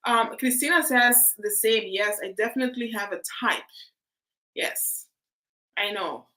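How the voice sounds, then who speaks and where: A woman's voice: on the high side, unhurried, bright, forceful tone, poor audio. A woman host, chatting casually on a video call, often laughing and restarting her words. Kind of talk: casual live chat.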